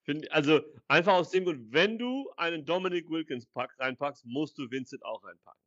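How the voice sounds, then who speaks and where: A man's voice: on the high side, expressive, normal speed, a bit bright, no room sound, highs cut off. A man co-hosting a casual podcast, agreeing readily and restarting his sentences as he gathers his thoughts. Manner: agreeable, short affirmations, hesitant restarts. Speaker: podcast co-host. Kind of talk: casual basketball debate.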